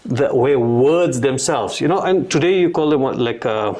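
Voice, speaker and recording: A man's voice: medium pitch, moderate pace, a little mellow. A man who grew up in India with Urdu as his first language, a guest singer in a studio interview, talking thoughtfully with many fillers and restarts. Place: radio studio.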